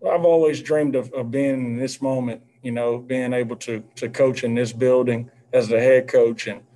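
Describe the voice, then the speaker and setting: A man in his thirties, a head basketball coach, answering postgame questions on a video call in an earnest, measured way with many fillers. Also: medium pitch, steady tone, warm tone. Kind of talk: postgame press conference answers. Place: indoor press backdrop room. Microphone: video call, seated at desk with mask.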